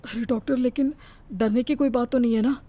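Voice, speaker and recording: A woman's voice: fairly high pitch, steady tone, fairly quick, mellow, lightly acted. A woman voicing a mild, caring young husband in a cartoon moral story. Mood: alarmed.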